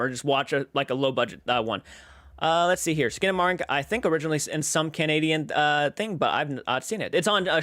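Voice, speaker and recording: A man's voice: on the high side, a bit bright, dry recording, studio quality. A man in his twenties casually chatting as a livestream host, rambling as he reads viewer comments aloud and answers them. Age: 20s.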